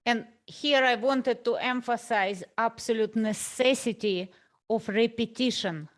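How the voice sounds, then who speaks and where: Woman, host, non-native English speaker, slow, earnest, repeats for emphasis. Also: fairly high pitch. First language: not English. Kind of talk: reflective conversation.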